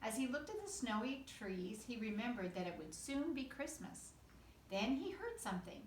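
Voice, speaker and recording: Animated woman reading a storybook in an echoey room. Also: fairly high pitch, normal speed. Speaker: pre-kindergarten teacher reading aloud. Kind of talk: expressive children's storybook read-aloud. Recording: echoey room, distant mic, faint noise.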